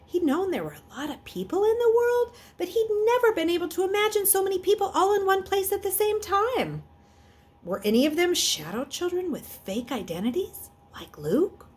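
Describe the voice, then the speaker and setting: A woman reading a children's novel aloud in an expressive way, occasionally stumbling and correcting herself. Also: very high voice, moderate pace. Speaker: reader.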